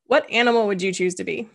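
A woman in her early forties hosting a relaxed interview over a video call from home, joking and laughing along. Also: medium pitch, gravelly. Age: early 40s. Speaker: host. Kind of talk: casual interview chat. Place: home room, indoors.

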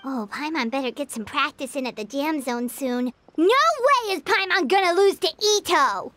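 A woman voicing a tiny, excitable female fairy companion in a video game, with a high squeaky voice and a bossy, boastful way of talking. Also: moderate pace. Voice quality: high squeaky voice, talks about herself in third person. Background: game music.

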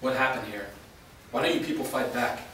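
A man's voice: mid-range voice, steady tone, brisk, natural voice. Grim, defiant wandering warrior played by a man for a stage drama, distant, heavily echoing room. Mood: questioning. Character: world-weary, defiant male wandering vampire fighter. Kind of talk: staged play reading. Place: large bare indoor room, staged reading. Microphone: distant camera. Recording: echoey room from far off, faint noise.

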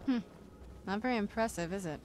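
A woman's voice: mid-range voice, a little fast, darkish tone, slight background noise, natural voice. A woman playing a wry, determined young-seeming vampire woman in a fantasy video game. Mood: wry wonder. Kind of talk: fantasy game character dialogue. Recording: clear game music underneath. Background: game music.

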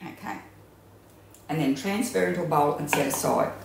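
A woman's voice: deep voice, normal speed, vocal fry, heavy background noise. A woman in her sixties with an Australian accent, chatting through a home cooking demonstration with many ums and restarts, recorded in her kitchen on a camera mic a few metres away. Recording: echoey kitchen room.

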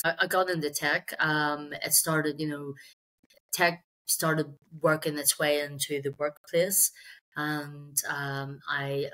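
A woman's voice: low-pitched, fairly bright tone, poor audio. A middle-aged woman with a Belfast accent telling her career story conversationally in an interview, with wry, self-deprecating asides. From Belfast, Northern Ireland. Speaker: sales executive, interview guest. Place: indoor room on a video call.

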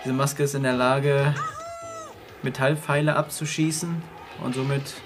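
A man's voice: medium pitch, flat delivery, unhurried. A man giving matter-of-fact explanatory commentary over a cartoon episode, with its soundtrack behind. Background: cartoon soundtrack and music.

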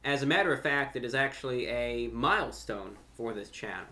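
A young man speaks to camera in a bright, forceful voice at a middling pitch. His phrasing is deliberate and a little formal, with pauses and repeated words as he works through his points like an online host. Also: lively intonation. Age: young adult. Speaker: YouTube host and amateur radio broadcaster. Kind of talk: unscripted talk to camera. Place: furnished room at home, indoors.

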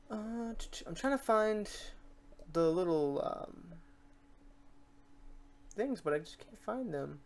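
A man hosting an off-the-cuff software tutorial, informal and rambling, muttering to himself as he works. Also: fairly high pitch, creaky. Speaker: tutorial host.

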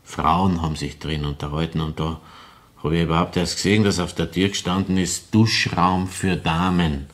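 An actor playing a bitter, woman-hating killer in a crime radio drama, confessing deliberately in Viennese dialect. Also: low-pitched, steady tone, close to an everyday voice. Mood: grave, confessing. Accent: Viennese Austrian. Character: confessing opera-house murderer, brooding, bitter woman-hater in his thirties.

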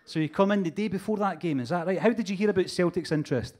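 A man's voice: high voice, fast talker, a little mellow. A man hosting a live stage interview, joking with the crowd into a handheld mic. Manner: jokey, upbeat crowd-working. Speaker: host. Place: stage before a live audience.

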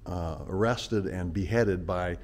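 Male vlog co-host, low voice, casual rambling storyteller, recorded outdoors on the camera mic. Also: slow, a little mellow. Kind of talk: casual travel narration.

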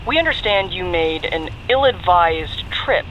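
A woman acts a commanding military general in a radio drama. Her voice is bright and forceful, her delivery direct and controlled, with faint background noise. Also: lowish voice, expressive, a little slow, muffled recording. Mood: firm, grave. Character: brisk, commanding female National Guard general. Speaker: National Guard general. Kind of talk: audio drama dialogue over radio.

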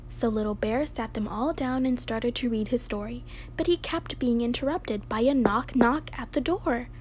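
High-pitched young woman reading a storybook. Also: normal speed, a little mellow. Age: young adult. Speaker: storyteller reading a picture book aloud. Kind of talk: expressive children's story read-aloud. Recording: home recording with clear background noise.

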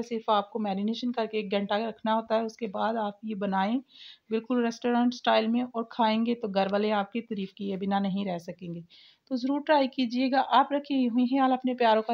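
A woman hosts a home cooking channel, talking through a recipe step by step. Her voice sits a little high. The sound is somewhat dull and lo-fi, like a simple home recording. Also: creaky. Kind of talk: recipe voice-over, step-by-step instruction.

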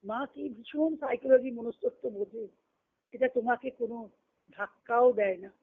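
A woman's voice: a little high, normal speed. Phone-quality audio. Female spiritual teacher, didactic delivery, reads aloud and explains with emphatic repetition. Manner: didactic, repeats phrases for emphasis. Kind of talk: devotional teaching, reading a text aloud and explaining it. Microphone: conference call line. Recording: muffled, narrow phone-like conference call.

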